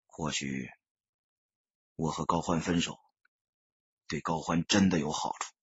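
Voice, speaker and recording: Earnest young man in an audiobook drama, read by a male narrator: very husky, hushed, hesitant, slightly muffled. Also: a bass voice, unhurried, no echo, pitched below the performer's own voice, heavily acted. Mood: low, pensive. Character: earnest young man, troubled, softly spoken boyfriend.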